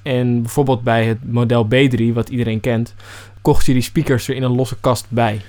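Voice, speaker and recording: A man's voice: medium pitch, flat delivery, moderate pace, a little mellow. A man giving an informal, conversational explanation.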